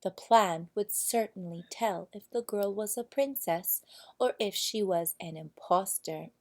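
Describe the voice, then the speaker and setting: A woman narrating a children's fairy tale from a storybook, with deliberate, expressive phrasing. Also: mid-range voice. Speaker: storybook reader.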